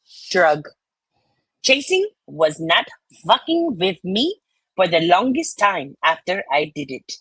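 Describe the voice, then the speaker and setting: A woman's voice: on the low side, sing-song, slow, a bit bright, breathy edge, dry recording, heavily acted, poor audio. Woman putting on a brash, indignant Southern belle voice. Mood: indignant, sassy. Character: brash, sassy Southern woman ranting at haters.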